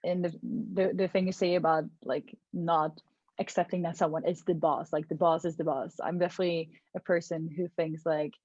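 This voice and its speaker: Lowish-voiced woman, dry dull call audio. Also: little pitch movement, normal speed, a little mellow, dry recording. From Sweden. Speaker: webinar participant. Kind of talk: casual discussion. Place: online webinar call.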